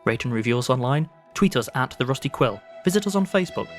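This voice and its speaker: A man's voice: medium pitch, expressive, fast. A man reading a scripted podcast credits announcement as the announcer. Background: loud guitar music.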